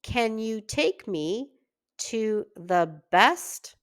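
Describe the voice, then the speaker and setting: A greedy storybook spider with a big appetite , played by a woman reader. He makes his demands very slowly, in a clear, pleading voice that swings up and down in pitch. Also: animated. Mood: wheedling, greedy. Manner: slow, pleading, exclaiming when in trouble.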